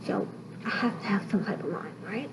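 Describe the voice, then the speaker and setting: A soft-spoken woman vlogging casually from a hospital room, half-whispering and chatty, often calling the viewers guys. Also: medium pitch, moderate pace. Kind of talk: casual chat to camera. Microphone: laptop built-in mic. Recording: very noisy laptop recording.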